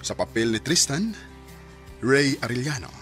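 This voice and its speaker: A man's voice: mid-range voice, big pitch swings, unhurried. A man announcing as a radio drama narrator, reading scripted closing credits in a presentational style. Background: loud music.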